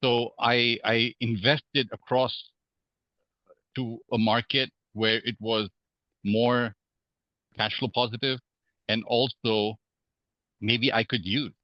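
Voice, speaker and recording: A man from Hong Kong, a podcast guest, speaking in a measured way with many fillers and restarts. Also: mid-range voice, level tone, no room sound, dull audio. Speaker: guest real estate investor. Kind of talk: podcast interview.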